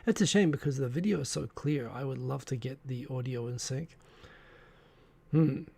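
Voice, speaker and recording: An Australian man hosts a relaxed live stream, greeting viewers from the chat and poking fun at his own tech troubles. His voice is deep-grained and gravelly, with a dark, mellow tone and a husky edge. He sits close to a desk microphone. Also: moderate pace, no echo. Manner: chatty, self-deprecating, greets viewers from chat. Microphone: desk microphone in front of him.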